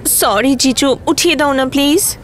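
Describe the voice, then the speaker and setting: A woman's voice: high-pitched, a little slow, noisy background. A woman acting in a TV serial as a playful, teasing young sister-in-law. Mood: sweet, coaxing.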